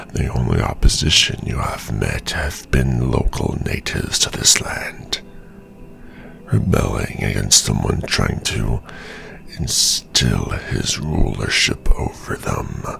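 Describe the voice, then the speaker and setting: An extremely deep, gravelly ancient werewolf. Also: male voice, expressive, fairly bright tone, heavily acted. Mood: accusing. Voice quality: gravelly, breathy growl. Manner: slow, deliberate, theatrical speech. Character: ancient werewolf veteran, menacing and sardonic beast. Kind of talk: ASMR fantasy roleplay acting.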